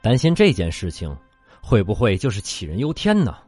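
Casting: male actor as hesitant fleet analyst, lowish lively voice, music faintly behind. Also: normal speed, breathy edge, full character voice. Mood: reluctant. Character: cautious, optimistic male space-fleet data analyst. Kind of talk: Chinese science-fiction radio drama acting.

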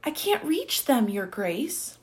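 A woman's voice: fairly high pitch, very animated, slow. A woman voicing an apologetic, helpless male gardener calling out in a children's story.

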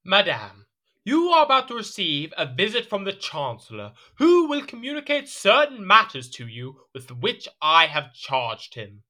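A man's voice: sits high, wildly swooping pitch, measured pace, pushed and bright, heavily acted. A man playing a haughty, suspicious king in a period audio drama, commanding and formal in his delivery. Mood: curt, commanding.

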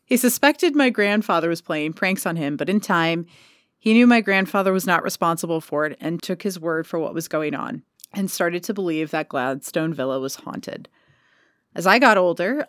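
A woman's voice: fairly low pitch, moderate pace, no room sound, studio quality. A woman co-hosting a casual podcast, chatting and reading a ghost story aloud, swearing casually. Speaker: podcast co-host.

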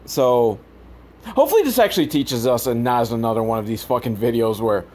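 A man's voice: medium pitch, expressive, a little fast, a little mellow. A man hosting a podcast, giving a casual, profane and sarcastic comedic recap of films. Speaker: podcast host. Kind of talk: comedic film recap commentary, casual rant.

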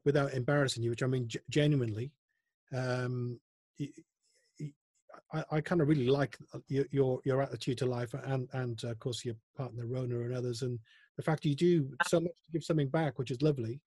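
A man's voice: mid-range voice, steady tone, brisk, dark tone, husky, no echo. A male host interviewing a guest in a friendly way, often stumbling over restarts of his words. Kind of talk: casual interview.

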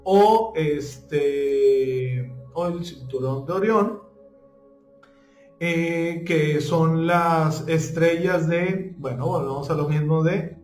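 A man with a high voice talks slowly through a spiritual lesson, stopping often to check in with his listeners. The sound is roomy and echoey, with faint music under it. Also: darkish tone. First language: not Spanish (joking). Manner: halting, many fillers, stumbles over names. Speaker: spiritual teacher. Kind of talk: informal talk on symbolism.

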